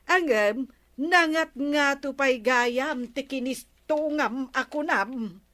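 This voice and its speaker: A woman's voice: sits high, slow, fairly bright tone. A woman playing a nagging, questioning wife in an Ilocano radio comedy, scolding and probing with an Ilocano accent. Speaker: comedy drama actress. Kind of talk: Philippine radio comedy drama acting.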